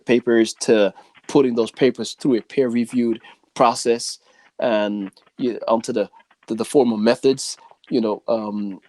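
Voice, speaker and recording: A man hosting a podcast in a casual, conversational way, speaking haltingly and often dropping in filler phrases. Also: medium pitch, darkish tone, no room sound. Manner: halting, frequent "you know". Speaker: podcast host.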